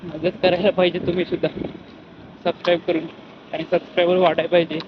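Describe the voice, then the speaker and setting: A young man with a rural western Maharashtra accent and a fairly high, even voice. He rambles casually to his vlog viewers, often correcting himself. The sound is dull, with clear background noise. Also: quick pace. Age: young adult. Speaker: vlogger. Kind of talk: casual daily vlog chat.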